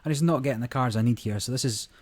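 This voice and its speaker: A man hosting a gaming channel, talking through a card game in a relaxed, rambling way, his voice a little croaky. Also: medium pitch, rapid-fire. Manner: relaxed, rambling, many fillers. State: slightly croaky voice. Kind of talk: casual gameplay commentary.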